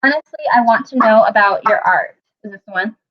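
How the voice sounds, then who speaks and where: A young woman hosting a casual interview, chatty, teasing and quick to laugh. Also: a little high. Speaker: interview host. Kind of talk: casual video-call interview. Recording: muffled lo-fi video call audio.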